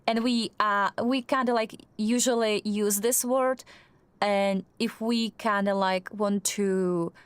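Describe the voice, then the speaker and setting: A woman's voice: medium pitch, steady tone, slow, clean tone, no echo. A young woman with a Czech accent chatting casually while streaming a game, hesitant and full of fillers and restarts. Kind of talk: casual chat while gaming.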